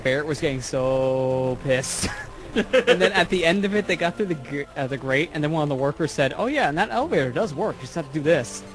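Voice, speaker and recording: Slightly high-voiced man joking over a game. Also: animated, fairly quick, faint music behind. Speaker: let's play co-commentator. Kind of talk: casual joking chat. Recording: faint background noise and ambience.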